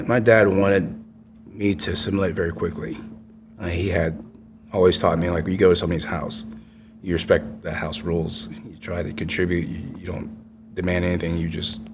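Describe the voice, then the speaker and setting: Low-voiced man in his fifties, born in Vietnam; earnest, halting podcast guest. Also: fairly even intonation, noisy background. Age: 50s. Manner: earnest storytelling with frequent restarts. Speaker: guest, congressional candidate. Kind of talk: podcast interview.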